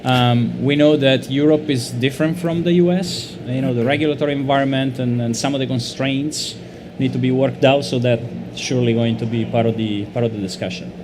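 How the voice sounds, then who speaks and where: A man with an Italian accent moderates a business conference panel. He talks in a fluent, conversational way with fillers and restarts. Clear background noise hangs behind him in the venue. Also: medium pitch, fairly even intonation, brisk. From Italy.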